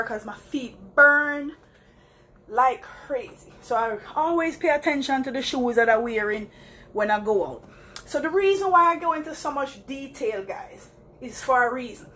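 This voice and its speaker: A woman's voice: high voice, normal speed, a bit bright, slight background noise. Chatty Jamaican woman walking outdoors. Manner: chatty, laughs often, addresses viewers as guys. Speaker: vlogger. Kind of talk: casual live-stream talk while walking. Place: outdoors walking around the block.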